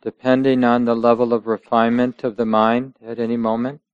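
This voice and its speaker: A man teaching meditation, guiding listeners gently in measured short phrases with long pauses between them. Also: medium pitch, level tone, smooth, no echo, muffled recording. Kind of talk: guided meditation instruction.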